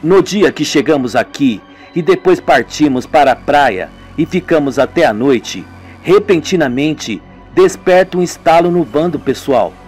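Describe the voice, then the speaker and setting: A pensive young man, read by a man who recounts events slowly and thoughtfully. His voice is light and fairly high, with faint music behind it. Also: animated, darkish tone, husky.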